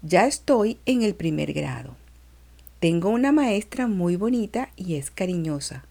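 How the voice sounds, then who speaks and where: A woman reading a children's lesson as a six-year-old girl who tells her dream in a slow, expressive sing-song. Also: fairly low pitch, vocal fry, full character voice. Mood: cheerful.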